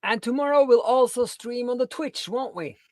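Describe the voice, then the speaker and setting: A woman's voice: on the high side, moderate pace, darkish tone, dry recording, clean studio sound. A woman co-hosting a livestream, chatting casually. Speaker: livestream co-host. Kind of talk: casual chat.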